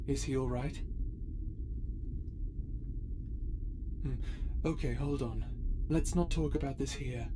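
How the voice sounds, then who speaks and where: Higher-voiced stern, secretive starship captain played by a man. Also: background noise, natural voice. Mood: calm, concerned. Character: stern, secretive male starship captain giving veiled threats. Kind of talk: scripted audio roleplay acting, addressing listener.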